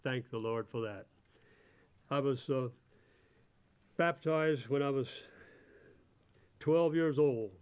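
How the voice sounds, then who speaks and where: A man in his eighties with a mid-range voice speaks at a church pulpit. He gives a testimony from notes in a halting way, often repeating a word before he carries on. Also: medium pitch, smooth. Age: 80s. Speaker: church member giving testimony. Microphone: microphone on a stand at the pulpit.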